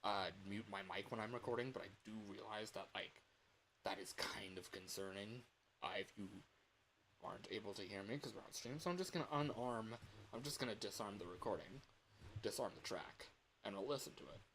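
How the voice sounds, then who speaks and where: A man's voice: fairly low pitch, brisk, fairly bright tone, rough recording. A man chatting casually on a livestream, thinking aloud in a muttering way, as an amateur voice actor. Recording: hissy home recording, computer fan noise. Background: computer fan hum.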